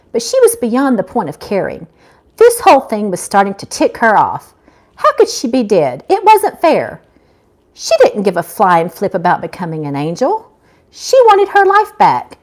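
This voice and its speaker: A woman author reading her own novel aloud in expressive scripted narration. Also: mid-range voice, a little slow.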